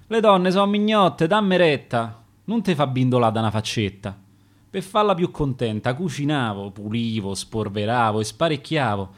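A man's voice: medium pitch, lightly acted. A man with a Roman accent playing a disillusioned, grumbling cuckolded husband in verse. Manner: sour complaint in verse.

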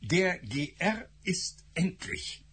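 A man's voice: high-pitched, sing-song, drawn-out words, a bit bright, slight background noise, full character voice. An actor playing a glib, sardonic male scientist in a radio drama, brusque and joking.

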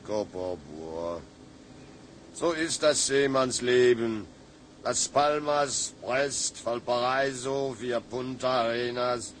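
A man voices a gruff, fatherly captain in a radio play. He has a German accent and slips German words into slow speech, with slight ambient noise behind. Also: mid-range voice, close to an everyday voice. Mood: consoling, resigned. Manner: mixes German words into Dutch. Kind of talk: radio drama acting. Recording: radio drama with faint background noise.

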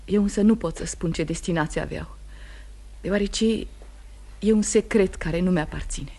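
An actress playing a proud, distressed accused wife in a radio drama, speaking with restrained, earnest emotion. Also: female voice, on the low side, vocal fry, mellow. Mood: firm, wounded. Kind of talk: mid-century radio theatre acting.

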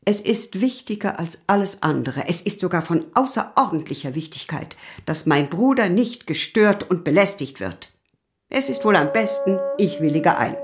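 A cold mistress of the house in a radio play. She is curt and haughty, with formal phrasing and animated, pointed intonation. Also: lowish voice, normal speed, soft background music. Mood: cold, dismissive.

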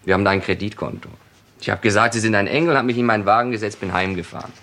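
Quick, guarded crime suspect. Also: male voice, lowish voice, bright, forceful tone, a little hiss or hum. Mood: defensive. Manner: guarded, terse, touchy. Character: defensive male suspect, a husband who smokes heavily. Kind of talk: German radio crime drama acting.

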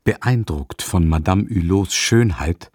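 A composed storyteller, narrating a literary radio play. The voice is low and recorded close and dry. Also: male voice, slow pace, a little mellow, husky, no room sound, full character voice.

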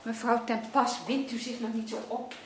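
An actress plays a careful female care worker in a radio play. She speaks politely and tactfully in a fairly high voice. The recording carries faint noise. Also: flat delivery, normal speed, lightly acted. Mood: firm, questioning. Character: patient, careful woman care worker mediating in a family. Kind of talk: Dutch radio play acting.

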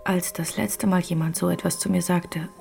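A woman playing an ethereal, melancholy young ghost in a German radio play, breathy and genteel, with old-fashioned courteous phrasing. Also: fairly low pitch, steady tone, moderate pace, darkish tone, soft background music. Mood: melancholy, insistent.

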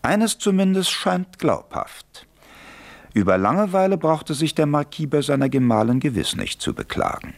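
A man's voice: a little high, animated, normal speed, vocal fry. A man playing an urbane narrator in a radio play, telling the story with dry irony. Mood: wry, ironic. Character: wry male narrator, urbane and ironic.

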